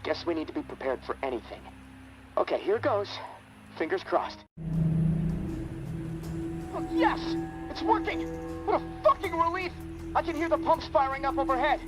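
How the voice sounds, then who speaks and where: An actor playing an excitable, wisecracking survivor and technician in a video game, chatty and jittery. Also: male voice, extremely high pitch, moderate pace, pauses often, loud music behind the voice. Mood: eager, nervous, then elated, relieved.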